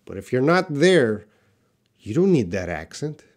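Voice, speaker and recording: Slow-paced man teaching English. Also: mid-range voice, very animated, a little mellow. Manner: deliberate, emphatic, repeats key points for learners. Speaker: English teacher, live Q&A host. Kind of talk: live lesson, answering viewer questions.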